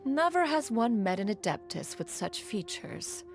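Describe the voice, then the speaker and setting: A woman's voice: fairly high pitch, big pitch swings, unhurried. A woman voicing a haughty, refined immortal spirit in an anime video game, with pompous, archaic formality. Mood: pedantic. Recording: game music behind the voice.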